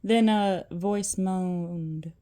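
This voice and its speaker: An older woman narrates a children's story. Her voice is mellow and she takes her time, reading aloud in a storyteller's style. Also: on the low side, steady tone, very slow.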